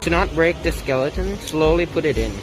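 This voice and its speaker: A man's voice: a little high, expressive, fairly quick, noisy background. A young man casually talking through a craft demonstration step by step. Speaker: tutorial presenter. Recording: loud music over the voice. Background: loud music.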